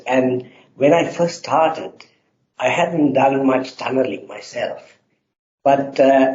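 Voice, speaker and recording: A middle-aged man from Sri Lanka, answering interview questions in a halting way with many filler sounds. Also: medium pitch, darkish tone. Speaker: guest engineer. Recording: roomy, slightly dull sound.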